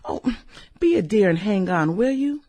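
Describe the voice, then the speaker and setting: An actress in a satirical radio drama playing a sultry, manipulative businesswoman with a theatrical, coquettish manner and breathy delivery. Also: medium pitch, lively intonation, warm tone, close to an everyday voice. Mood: flirty, teasing. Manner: theatrical, coquettish, drawn-out exclamations and giggles. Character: sultry, demanding, manipulative businesswoman juggling rich lovers.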